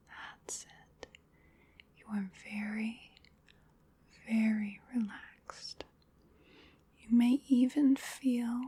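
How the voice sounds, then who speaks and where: Very slow, breathy whispering woman. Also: on the high side, darkish tone. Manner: soothing, unhurried, repetitive guided relaxation. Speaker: ASMR performer. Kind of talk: whispered ASMR hypnosis roleplay.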